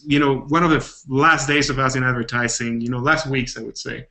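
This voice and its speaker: A man's voice: mid-range voice, fairly even intonation, a little fast, pushed and bright. A man from Bolivia, a game developer guest, telling stories in a rambling casual way with many restarts. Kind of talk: casual interview chat.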